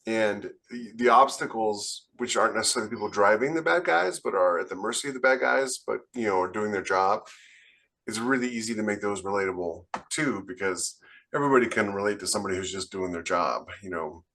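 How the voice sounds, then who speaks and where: A man's voice: fairly low pitch, a little fast, bright, forceful tone. An American man co-hosting a podcast, talking casually with blunt, opinionated delivery. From United States. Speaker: podcast co-host, writer. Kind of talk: casual panel discussion.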